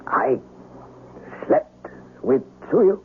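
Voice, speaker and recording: Formal young samurai, muffled radio recording. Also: male voice, medium pitch, very animated, extremely slow, a little hiss or hum. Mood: shaken. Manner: formal, earnest. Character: proud, devoted young samurai master. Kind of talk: old-time radio drama acting.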